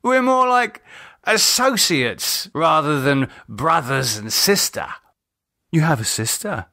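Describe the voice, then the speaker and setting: A man voicing a bitter, sardonic, self-loathing drunk in an audiobook, halting and sneering as he talks. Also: a little high, big pitch swings, bright, forceful tone, dry recording, studio quality, pitched above the performer's own voice, exaggerated voice. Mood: bitter, contemptuous. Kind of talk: audiobook character dialogue.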